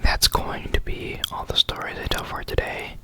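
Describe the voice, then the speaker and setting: A tired young adult YouTuber telling a story in a soft unvoiced whisper, rambling with many likes and ums. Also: moderate pace.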